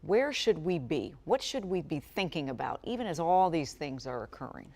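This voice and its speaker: A woman's voice: low voice, very animated, normal speed, darkish tone. A woman anchoring a TV news segment in a studio, polished and conversational.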